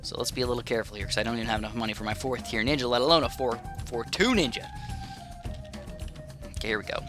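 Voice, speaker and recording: A man chatters fast through a strategy match, rambling from thought to thought with plenty of fillers and restarts. His voice sits at an ordinary middle pitch. Game music plays clearly underneath him. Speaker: gaming channel host. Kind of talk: casual live gameplay commentary.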